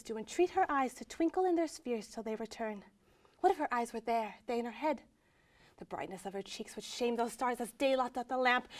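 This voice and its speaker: Lovestruck young Romeo played by a woman, high-voiced. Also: darkish tone, lightly acted. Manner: ardent, breathless wonder, swinging emphasis. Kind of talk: Shakespearean stage monologue in verse.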